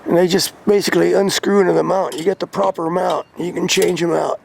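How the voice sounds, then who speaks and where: An American man with a gravelly voice, talking casually and informally as a hobbyist vlogger. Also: sits high, fairly even intonation, fairly quick, a little mellow, slight background noise. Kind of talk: casual hands-on gear talk.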